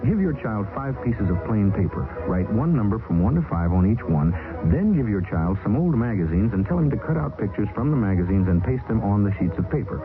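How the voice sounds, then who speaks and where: A man reading a public service announcement on the radio, brisk, friendly and instructive. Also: lowish voice, big pitch swings. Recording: tinny 1970s radio broadcast with music.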